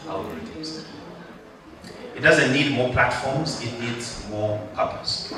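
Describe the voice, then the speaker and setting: A man delivering a motivational graduation speech to an audience, rousing and joking, drawing responses from the crowd. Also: mid-range voice. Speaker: guest speaker. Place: large hall at a ceremony. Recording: echoey hall, mic far off, background noise.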